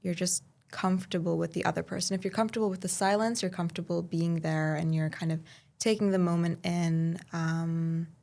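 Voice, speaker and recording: Low-voiced woman pausing thoughtfully. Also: fairly even intonation, creaky, darkish tone. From Turkey and Cyprus, raised in Saudi Arabia. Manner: thoughtful, pauses often with "um". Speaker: podcast guest, spoken word poet. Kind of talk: casual conversational interview.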